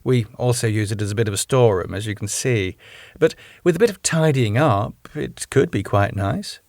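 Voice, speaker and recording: A man's voice: on the low side, normal speed, creaky, a little mellow, no room sound. A man voicing a genteel, cheerful woman who runs a club and speaks in a polite, soothing way.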